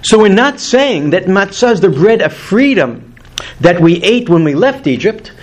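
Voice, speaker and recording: A man's voice: high-pitched, sing-song, normal speed. A man in his fifties teaching a weekly Torah class to a group, earnest and emphatic, lifting his voice on key words. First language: not English.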